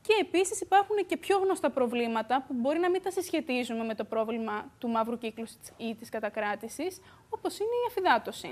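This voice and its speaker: A young woman, a beauty expert, explaining calmly in a TV studio on a lapel mic, with the odd "uh" filler. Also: on the high side. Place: bright TV studio.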